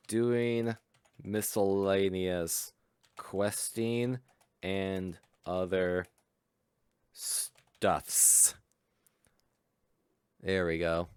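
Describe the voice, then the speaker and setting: A man's voice: low-pitched, a little mellow, smooth, no echo. A man chatting casually while streaming a game, laid-back and rambling.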